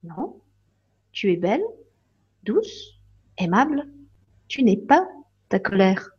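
A woman voicing a gentle, loving fairy in a storybook reading, speaking softly and soothingly. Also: medium pitch, expressive, normal speed, slightly rough, muffled recording. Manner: soft, hushed, soothing.

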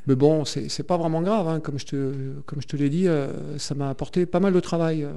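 A man talks slowly through his career on a radio show, often pausing with fillers and restarting his sentences. His voice is fairly high for a man, gravelly yet resonant, with a dark, mellow tone. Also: steady tone. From France. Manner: hesitant, many fillers and restarts. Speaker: singer guest. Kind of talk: radio interview chat.

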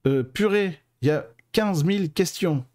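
A man's voice: high-pitched, unhurried, darkish tone. A man hosting a live stream, talking casually and informally to his viewers in a chatty, digressive way. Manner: informal, colloquial wording. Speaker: host of a live stream on mediumship and clairvoyance. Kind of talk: casual live talk answering viewer questions.

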